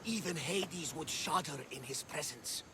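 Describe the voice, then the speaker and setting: A man's voice: a little high, expressive, unhurried, background noise. A man playing a talkative, genial Greek ship captain who relishes telling tales, in a video game cutscene. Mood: grim.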